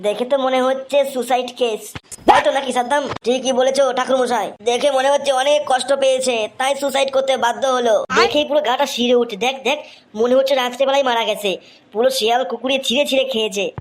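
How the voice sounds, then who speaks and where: A woman's voice: high-pitched, steady tone, fast talker, pitched below the performer's own voice, lightly acted. A woman voicing a grave, officious village man in a cartoon, speaking in a solemn, matter-of-fact way. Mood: solemn gossip. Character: grave, officious village men gawking as bystanders. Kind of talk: cartoon dialogue.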